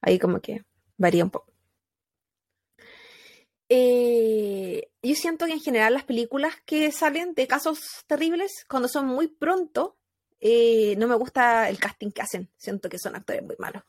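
A woman with a Chilean accent telling a true crime story in casual podcast chat, animated, with fillers and laughs. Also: a little high, moderate pace, no room sound, studio quality.

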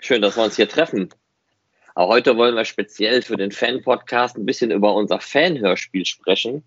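A man's voice: on the low side, normal speed, a bit bright, no echo. A man interviewing someone in casual chat over an internet call. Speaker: podcast interviewer. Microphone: video call. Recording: dull, dry internet call audio.

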